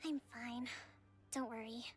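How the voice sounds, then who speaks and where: A composed young strategist lays out plans in a soft, measured tone. Her voice sits fairly high, with faint game music behind. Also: steady tone, slightly rough. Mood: calm measured. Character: calm young female strategist leader.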